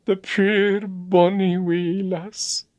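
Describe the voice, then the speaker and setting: A man voicing a hard-boiled Scottish male literary agent gone soft, with a Scottish accent, by turns scornful and quavering. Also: high-pitched, flat delivery, drawn-out words, no echo, pitched above the performer's own voice. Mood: tearful. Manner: gruff turning tearful and quavering.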